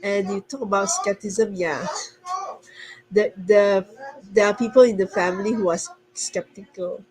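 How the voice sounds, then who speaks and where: A woman's voice: mid-range voice, normal speed, a little hiss or hum, low-quality recording. A woman from Singapore, a stay-at-home mother, earnestly telling her story on a video call, often restarting and correcting herself. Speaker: guest speaker. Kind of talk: casual testimonial on a video call.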